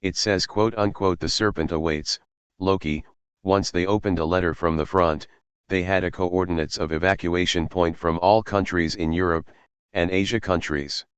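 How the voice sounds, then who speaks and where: A man's voice: sits low, fairly even intonation, moderate pace, clear, resonant tone, no echo. A man reading a scripted mock emergency broadcast as a formal announcer.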